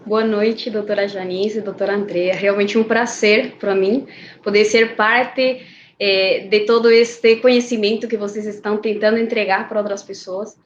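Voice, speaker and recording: A woman from Peru, a Spanish native speaker, speaking Portuguese with a Peruvian accent as a guest urologist in an online panel, measured and often checking in with "né" and "tá". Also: mid-range voice, steady tone, highs cut off, a little hiss or hum.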